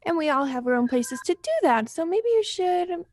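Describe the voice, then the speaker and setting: A woman's voice: very high-pitched, animated, a little fast, slight background noise. A young woman playing an anxious, kindly leaf who tries to keep the peace in a student radio play. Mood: soothing, nervous. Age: young adult. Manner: pleading, soothing, hushed at times. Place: small improvised home recording space.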